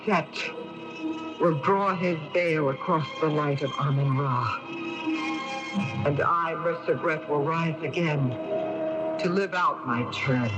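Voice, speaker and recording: Radio-drama avenger of an ancient Egyptian curse: high-pitched, solemn, chanting, on dull AM tape. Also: male voice, takes it slowly, long pauses, loud music behind the voice. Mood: solemn, menacing. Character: vengeful man swearing an ancient Egyptian curse. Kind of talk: 1980s radio drama acting.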